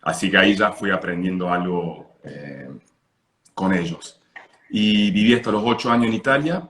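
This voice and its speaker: A man in his thirties, born in Italy and raised partly in Argentina, speaking with an Argentine accent as a guest, relaxed and unhurried. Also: low-pitched. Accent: Argentine Spanish. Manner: relaxed, just woke up, unhurried with pauses. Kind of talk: casual video-call interview. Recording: echoey room.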